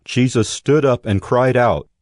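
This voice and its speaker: A man reading scripted narration for a dramatized audio Bible in a measured, solemn way. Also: medium pitch, darkish tone, no room sound, clean studio sound. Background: music.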